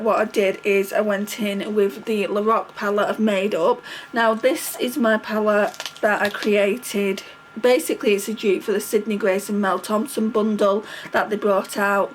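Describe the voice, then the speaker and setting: A woman's voice: medium pitch, slight background noise, rough recording. A middle-aged woman vlogging casually while doing her makeup, chatty and rambling, with a stuffy nose from a cold. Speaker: beauty vlogger.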